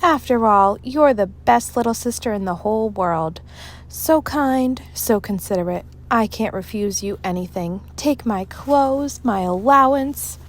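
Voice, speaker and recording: A woman's voice: a little high, measured pace, close to an everyday voice. A woman voicing a dramatic, scheming young girl in a children's storybook. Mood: sweet, coaxing. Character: grumbling, scheming young girl with big imagination.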